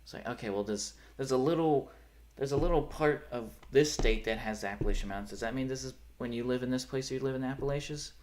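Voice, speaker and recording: A man's voice: medium pitch, fast talker, a little mellow. A young man talks loosely to his live-stream audience in a mid-range voice, with a light rural Pennsylvania accent. He rambles, corrects himself mid-sentence and lets thoughts trail off. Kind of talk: casual live-stream chat.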